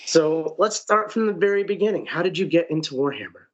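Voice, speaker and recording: A man hosting a podcast, talking in an excited, effusive way and repeating phrases for emphasis. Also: on the high side, a little fast, dull audio. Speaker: podcast host. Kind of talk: enthusiastic podcast chat.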